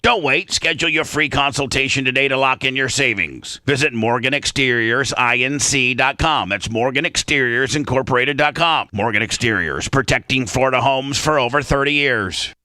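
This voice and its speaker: Bright, forceful man reading a radio ad. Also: mid-range voice, normal speed, few pauses, no room sound. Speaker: radio show host reading sponsor ads. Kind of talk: scripted ad read.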